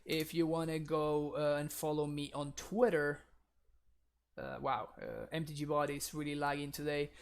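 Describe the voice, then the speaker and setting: A man's voice: a little high, steady tone, normal speed, creaky. Chatty streamer man with Spanish accent. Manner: chatty, laughs often, talks to chat. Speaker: game streamer. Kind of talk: casual live-stream commentary.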